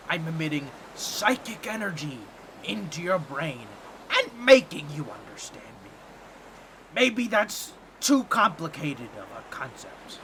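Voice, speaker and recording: A man voicing an excitable, boastful talking octopus in a comedic audio roleplay, with a nasal, squawky voice and a fussy, theatrical, pompous way of talking to the listener. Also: high-pitched, slight background noise, heavily acted.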